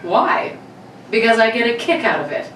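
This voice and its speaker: A woman's voice: on the high side, very animated, moderate pace, fairly bright tone. A woman playing a cocky male burglar of about thirty in an old-time radio play reading, gloating and mock-courteous. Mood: smug, boastful. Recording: roomy, distant mic, background noise.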